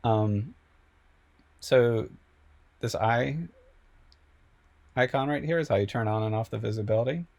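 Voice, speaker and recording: A man, a professor, explaining software step by step in a relaxed way with many fillers, slightly sick and losing his voice. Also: mid-range voice, unhurried, gravelly, pauses often. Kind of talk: screen-recorded software tutorial.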